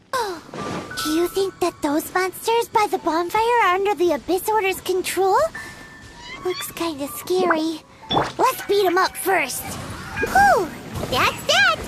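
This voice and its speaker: A woman voicing a tiny, childlike, excitable fairy companion with a squeaky voice in a video game. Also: takes it slowly, over music, heavily acted. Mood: inquisitive, uneasy, then excited, alarmed. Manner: speaks of self in third person, exclamatory.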